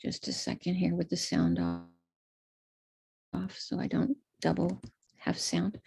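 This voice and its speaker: A woman's voice: lowish voice, sing-song, unhurried, creaky, mellow. An American woman, an astrologer, patiently talking viewers through a chart step by step before leading a live-streamed ritual.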